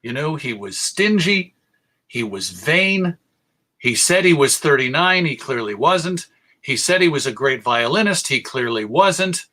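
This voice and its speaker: A middle-aged American man giving a public lecture on radio history in a measured storytelling way, with deliberate pauses. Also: medium pitch, lively intonation, pushed and bright. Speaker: presenter.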